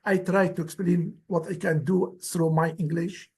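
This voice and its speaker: Guest speaker: man, native Kurdish speaker, husky high voice, earnest and halting. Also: flat delivery, dark tone. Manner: earnest, pleading, halting phrasing. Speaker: guest speaker, former heritage director. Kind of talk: formal presentation in non-native English.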